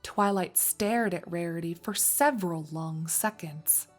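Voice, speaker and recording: A woman's voice: fairly low pitch, a little slow, soft background music. A woman narrating a scripted story as its narrator, reading in prose between characters' dialogue.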